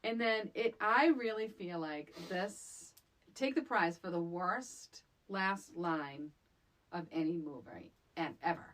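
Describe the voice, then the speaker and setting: A woman's voice: on the low side, slow, a bit bright. A woman co-hosting a podcast, chatting casually about a film in an emphatic, exasperated way and repeating words for emphasis. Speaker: podcast co-host. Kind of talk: casual chat reviewing a film.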